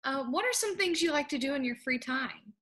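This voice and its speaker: A woman's voice: high-pitched, lively intonation, fairly quick, a bit bright. A young woman interviewing a director for a theater program over a video call from her kitchen, upbeat and encouraging. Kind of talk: friendly interview questions. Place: home kitchen. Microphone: video call laptop mic.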